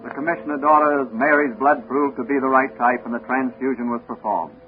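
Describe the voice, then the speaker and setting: Male announcer, medium pitch, scripted vintage radio serial narration, narrow tinny sound. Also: fairly even intonation, a little fast, faint music behind. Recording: tinny, hissy 1940 radio recording.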